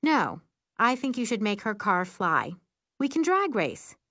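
A woman voicing an excitable, sarcastic, anxious teenage girl in an audiobook. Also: mid-range voice, unhurried, no echo, studio quality, full character voice. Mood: sarcastic, urging.

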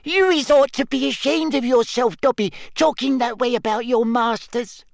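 A man's voice: moderate pace, creaky, no echo, clean studio sound. A man voicing a tiny female house-elf for an audiobook, indignant, tearful and fiercely loyal, wailing in broken grammar. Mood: furious, scolding. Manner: shrill, wailing, broken third-person grammar. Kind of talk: audiobook character acting.

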